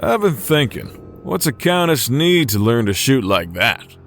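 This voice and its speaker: A man's voice: medium pitch, sing-song, measured pace, fairly bright tone, soft background music. A man voicing a laid-back outlaw gunslinger with a dry, teasing manner in a fantasy drama. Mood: wry, casual.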